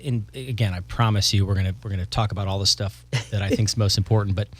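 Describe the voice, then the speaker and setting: A man hosting a podcast, talking in an enthusiastic, jokey way with a lively sing-song intro voice. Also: on the low side, fast talker, no echo. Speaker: podcast host. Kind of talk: casual interview chat.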